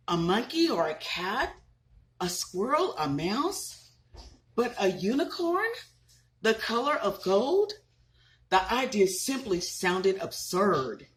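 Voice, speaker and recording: Expressive mom reading a storybook aloud. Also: female voice, lowish voice. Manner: expressive, asks listeners questions. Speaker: parent reading to children. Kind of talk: reading a picture book aloud with asides.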